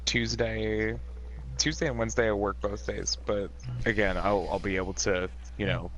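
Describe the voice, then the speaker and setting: A man's voice: lowish voice, fairly even intonation, moderate pace, a bit bright, poor audio. A man chatting casually with friends before a game, laid-back and joking, with some swearing. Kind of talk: casual chat.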